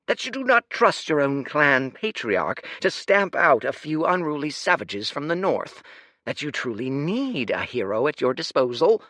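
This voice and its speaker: A scheming old lord makes a grand public speech in a raised, lively voice, as a man puts him on. His tone rises and falls widely, and the sound is dry and close. This is storybook narration. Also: a little high, moderate pace, no echo, pitched above the performer's own voice. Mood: cutting. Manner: declamatory, oratorical.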